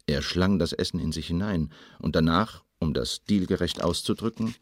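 An actor playing a watchful secret agent who gives a terse, wry, matter-of-fact report in a German radio drama. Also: male voice, sits low, normal speed, background noise.

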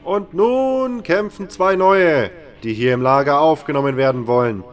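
A man voicing an arena master in a fan audio drama, calling out loudly and ceremonially with drawn-out words. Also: a little high, faint music behind, voice pushed up, lightly acted. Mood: proclaiming. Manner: loud, drawn-out proclaiming. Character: gruff male arena master, loud ceremonial announcer.

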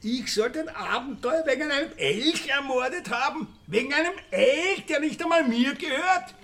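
An actor playing a bitter, cornered Austrian village murderer in a radio crime drama, indignant and sneering. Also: male voice, very high voice, expressive, moderate pace, bright, forceful tone, exaggerated voice.

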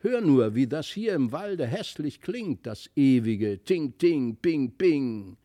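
A man voicing a gentle, playful young girl in a storybook, polite and childlike. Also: medium pitch, big pitch swings, slow. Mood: critical. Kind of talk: storybook character voice.

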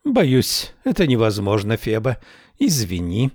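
A man reading a storybook voices a proud, sharp-tongued woman ruler who answers in curt, scornful retorts. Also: lowish voice, wildly swooping pitch, measured pace, husky, dry recording, studio quality, exaggerated voice.

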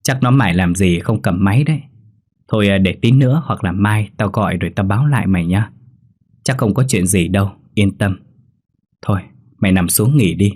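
A man's voice: lowish voice, fairly quick, darkish tone, husky. A man voicing a blunt but caring young female student in a horror story, bossy and colloquial, fussing over her roommate. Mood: reassuring.

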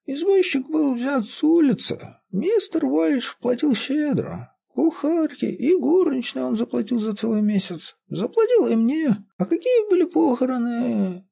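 Man voicing a high, whining old woman. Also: gravelly, mellow, husky, heavily acted. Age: elderly. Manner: whining, tearful, drawn-out gossiping. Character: plaintive half-deaf old woman caretaker. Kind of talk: audiobook character voice.

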